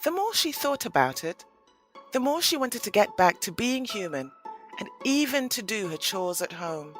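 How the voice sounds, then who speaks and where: A woman narrating a scripted audio play in an expressive, dramatic storytelling manner. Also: mid-range voice, moderate pace, faint music behind.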